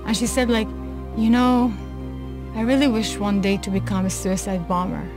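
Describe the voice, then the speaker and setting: A woman from Israel gives a personal testimony in an even, mid-range voice. She speaks earnestly and at a measured pace, with music playing clearly behind her. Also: medium pitch. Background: background music.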